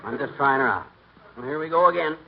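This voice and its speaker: An actor playing a folksy, cocky, bumbling husband who tinkers, brash and slangy, in 1940s radio comedy. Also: male voice, medium pitch, animated, fast, vocal fry, dull audio, crowd noise. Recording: very noisy, band-limited 1940s radio recording.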